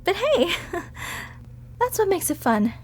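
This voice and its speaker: A woman voice actor performing a sweet, gentle character in an acted audio roleplay, talking in a bubbly, soothing way. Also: extremely high pitch, very animated, takes it slowly.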